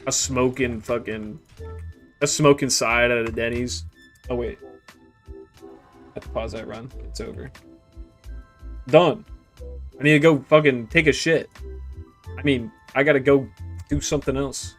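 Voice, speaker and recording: A man's voice: mid-range voice, fairly even intonation, measured pace, long pauses, faint music behind. A man in his twenties chatting casually on a game stream, rambling and joking crudely, often trailing off into mutters. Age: 20s. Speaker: gaming streamer. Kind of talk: casual stream chat while gaming.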